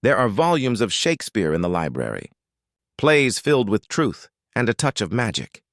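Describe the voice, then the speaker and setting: A British man voicing a reclusive, gentle baron who speaks in a quiet, measured, wry and resigned way. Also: fairly low pitch, wildly swooping pitch, dry recording, studio quality. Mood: quiet, forgiving.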